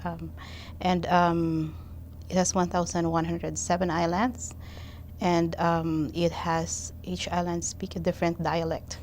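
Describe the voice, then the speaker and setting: A woman from the Philippines explaining her country as a guest, steady and plain, with many ums. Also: lowish voice, unhurried, slight background noise. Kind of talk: casual interview.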